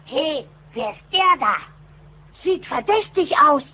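A woman's voice: sits high, expressive, slow pace. A woman voicing a plucky, cheeky young duck nephew in a cartoon audio drama, eager and defiant. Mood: urgent, curious. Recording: tinny narrow-band old cassette.

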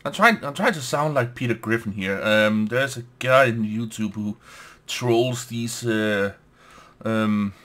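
A young man with a Danish accent, a native Danish speaker, giving casual let's play commentary, excitable, swearing and laughing at the game as he plays. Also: fairly low pitch. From Denmark.

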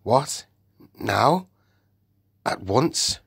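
A man's voice: medium pitch, expressive, drawn-out words, vocal fry, slightly rough, no echo, clean studio sound, full character voice. A man reading aloud and voicing a modest, good-natured old male cab horse who talks eagerly and a little flustered.

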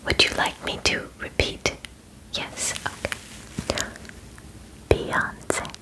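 A young woman plays a calm alien examiner in an ASMR roleplay. The voice is whispered and very slow, close on the microphone. The manner is formal and methodical, gently reassuring the human under inspection. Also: poor audio. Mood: calm, clinical. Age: 20s. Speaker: ASMR performer. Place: bedroom studio, indoors.

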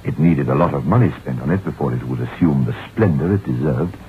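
An actor playing a brooding, reflective novelist who tells his haunted tale in measured 1960s radio drama style, on a tinny, noisy old recording. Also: a bass voice, expressive.

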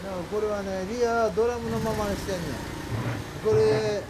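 A man's voice: high-pitched, steady tone, normal speed. A man with a Kansai accent, a cafe owner chatting in a friendly, talkative way with visiting riders outdoors. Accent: Kansai Japanese. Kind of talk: casual chat. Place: outdoors by a cafe parking area. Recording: outdoor noise, passing cars.

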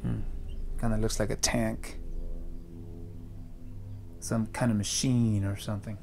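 A man's voice: fairly low pitch, flat delivery, measured pace, creaky, a little mellow, soft background music. Young man vlogging outdoors, thinking aloud. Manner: thinking aloud, talking straight to viewers. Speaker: urban explorer vlogger. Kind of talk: casual vlog narration while exploring. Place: outdoors on open hillside with brush. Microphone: handheld camera at arm's length.

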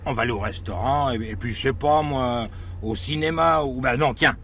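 An actor playing an evasive, weary married man in a radio play, hesitant and quick to bluster. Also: mid-range voice, fairly bright tone, close to an everyday voice. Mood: placating. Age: middle-aged. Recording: noisy recording with background ambience.

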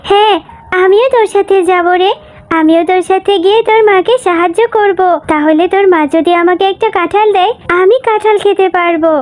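Very high, eager little fox girl. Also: a little fast. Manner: pleading, childlike. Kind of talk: cartoon voice acting. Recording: music under the voice.

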